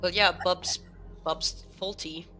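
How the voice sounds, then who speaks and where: Bright, exasperated female operations officer played by a woman. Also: fairly low pitch, steady tone, slow, faint music behind.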